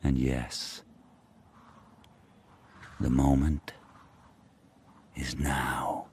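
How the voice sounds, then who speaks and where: A man's voice: rumbling low, completely flat delivery, a little mellow, husky, full character voice. An actor playing a proud, fierce sled dog who tells his own hard life in solemn, intense radio drama monologue. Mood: grim, defiant. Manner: solemn, deliberate, intense, short poetic phrases.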